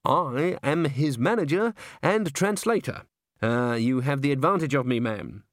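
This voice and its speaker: A man's voice: medium pitch, animated, a little slow, dry recording, voice pushed up, close to an everyday voice. A prim, pompous male opera manager from a storybook, as a male narrator plays him, with a raised, fussy voice. He speaks politely and self-importantly, close and dry. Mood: prim, condescending.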